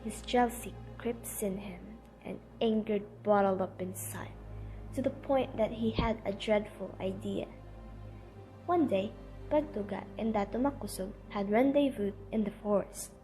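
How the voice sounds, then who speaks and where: A woman's voice: mid-range voice, unhurried, mellow. Woman narrating a legend over music. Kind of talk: scripted storytelling narration for an amateur radio drama.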